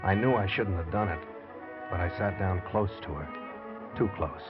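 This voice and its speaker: A man's voice: low-pitched, normal speed, lightly acted. An American actor playing a scheming husband in a 1940s radio crime drama, telling his murder plot in terse, hardboiled style. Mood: grim, calculating. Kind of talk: 1940s radio crime drama acting, first-person noir narration. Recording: muffled old radio broadcast with faint music.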